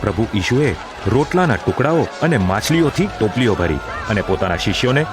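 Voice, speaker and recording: A man's voice: on the low side, very animated, a little fast, music in the background. A man narrating a gospel audio drama in a formal, solemn storytelling style.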